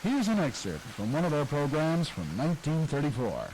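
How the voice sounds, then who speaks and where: A man smoothly narrates the history of old-time radio in a scripted, informative way. His voice sits a little high and moves with animated lift. A faint cassette hiss runs under it. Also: moderate pace, rough recording.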